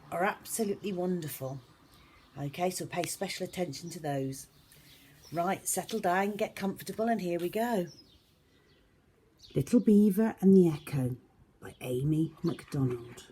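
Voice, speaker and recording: A woman's voice: lowish voice, warm tone, slight background noise. A woman reading aloud as a teacher at children's storytime, speaking expressively to young listeners. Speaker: schoolteacher reading stories to a class.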